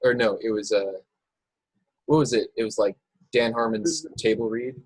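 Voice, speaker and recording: A man hosts and narrates an amateur table read. His voice is low and rough-edged, recorded close and dry on a video call. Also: moderate pace, a little mellow, dry recording. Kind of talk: scripted narration and casual chat.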